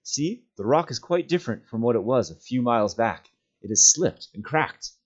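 A man's voice: mid-range voice, animated, moderate pace, highs cut off, lightly acted. A man voicing a determined, earnest young male hobbit adventurer in a storybook reading. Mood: resolved.

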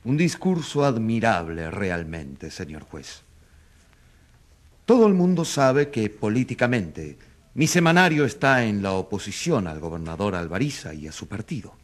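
A man's voice: fairly low pitch, sing-song, measured pace. A man playing an indignant opposition newspaper editor testifying in a radio courtroom drama, with emphatic, rhetorical delivery. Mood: earnest, pointed.

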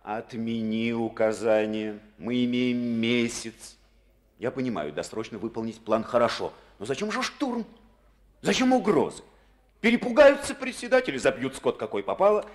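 Man acting an earnest deputy official, insistent, explaining patiently, lively pitch, old radio play. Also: normal speed, lightly acted. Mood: urging. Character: earnest principled male deputy party official. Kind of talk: 1950s Soviet radio drama acting.

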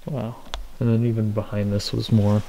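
Hushed gravelly man exploring in the dark. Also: fairly low pitch, little pitch movement, moderate pace, slight background noise, low-quality recording. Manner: hushed, easily impressed, talks to viewers. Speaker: urban explorer filming. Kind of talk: casual walk-through chat. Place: dark abandoned school building, indoors.

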